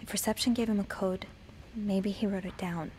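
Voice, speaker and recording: A woman's voice: mid-range voice, steady tone, normal speed, darkish tone, close to an everyday voice. Young female hotel maid, acted by a woman, curious and anxious, natural mystery-game dialogue, hesitant delivery, faint noise behind. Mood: musing.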